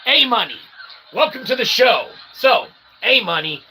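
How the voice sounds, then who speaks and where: A man hosting a rambling livestream, speaking in a wordy, mock-grandiose, self-deprecating way with a gravelly voice. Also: very high voice, sing-song, unhurried, sharp tone. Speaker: livestream host. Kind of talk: rambling livestream monologue to chat. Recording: noticeable background noise.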